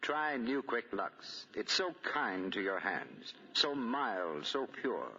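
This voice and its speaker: Low, bright-edged 1940s radio announcer man. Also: sing-song, takes it slowly, a little hiss or hum. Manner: polished, emphatic salesmanship. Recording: muffled 1940 radio broadcast.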